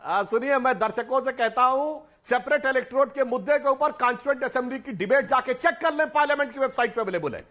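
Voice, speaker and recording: Male news debate panelist, very high voice, indignant and challenging. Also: quick pace, few pauses, sharp tone. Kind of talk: heated TV debate.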